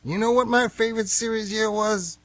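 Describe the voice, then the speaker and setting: A man's voice: sits high, steady tone, brisk, dry recording, natural voice. An actor with an American accent playing an indignant, stubborn older man, folksy and emphatic, in a radio play. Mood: scornful. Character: stubborn, indignant old male former baseball fan.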